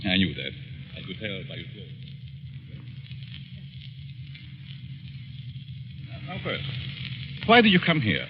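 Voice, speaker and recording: An actor playing a probing, sinister psychology professor who questions deliberately and insinuatingly in a 1940s radio drama style. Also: male voice, low voice, huge pitch swings, background noise, exaggerated voice. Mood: smooth, probing. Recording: muffled old-time radio recording.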